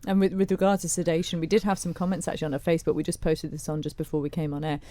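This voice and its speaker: A woman's voice: low-pitched, level tone, rapid-fire, warm tone, no echo. A woman co-presenting a radio talk show, discussing and relaying comments in a conversational way. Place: radio studio.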